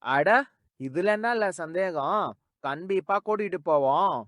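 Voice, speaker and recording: A man's voice: sits high, measured pace, no echo, studio quality. A man voicing a friendly, welcoming donkey in a children's cartoon, hearty and folksy. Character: welcoming male donkey, the cat's close friend.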